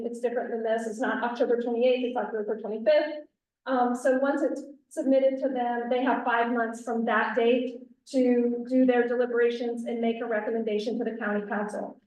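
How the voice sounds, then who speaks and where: A woman on county planning staff presenting at a public community meeting, often restarting and correcting herself mid-sentence. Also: on the high side. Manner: frequent self-corrections and restarts; says she has a loud outside voice. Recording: echoey room, far from the mic.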